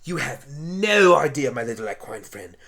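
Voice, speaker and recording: An eccentric alien gentleman doctor stuck in a pony's body, acted by a woman. The delivery is bright and swings widely in pitch. He is pedantic, quizzical and blunt, as in an amateur radio play. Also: big pitch swings, normal speed, creaky, heavily acted. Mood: wry.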